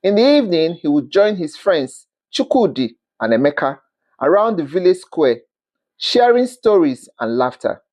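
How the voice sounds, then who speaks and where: A storyteller narrating a scripted folktale in an expressive, dramatic manner, with the occasional stumble over words. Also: medium pitch, normal speed, highs cut off.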